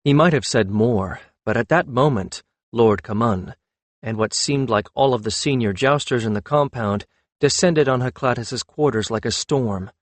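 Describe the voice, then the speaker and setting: A man's voice: mid-range voice, normal speed, no room sound. A man reading a scripted fantasy audiobook as narrator.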